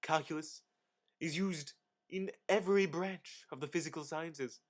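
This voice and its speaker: Amateur male reader, slightly high-pitched, theatrical delivery of scholarly text, pompous swells and dramatic pauses. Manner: mock-grandiose, with sudden emphatic swells and dramatic pauses.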